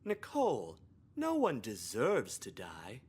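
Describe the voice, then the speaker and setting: An actress voicing a prim, preachy adult school staffer in a video game, with a theatrical, scolding manner. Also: female voice, rumbling low, wildly swooping pitch, slow, darkish tone, husky, heavily acted.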